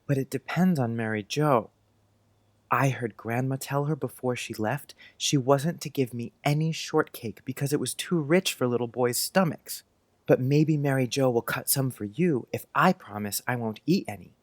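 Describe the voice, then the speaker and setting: A man's voice: mid-range voice, moderate pace, no room sound, natural voice. A man playing an earnest, polite, precocious young schoolboy in an audiobook, speaking in careful old-fashioned phrases. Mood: grave, careful. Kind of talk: audiobook character acting.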